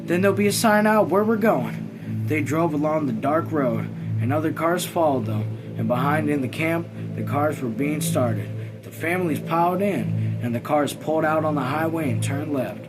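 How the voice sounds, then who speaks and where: Male amateur reader, slightly high voice, halting informal novel narration over clear background music. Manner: halting, repeats phrases. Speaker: reader of a read-along video channel. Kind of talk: informal reading aloud of a novel.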